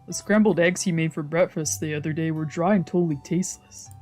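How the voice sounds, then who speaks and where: A woman streamer playing a visual novel, mixing casual, slangy, expressive commentary with reading the game text aloud. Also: sits low, fairly quick. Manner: expressive, reactive, exclamatory, slangy. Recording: music playing behind the voice.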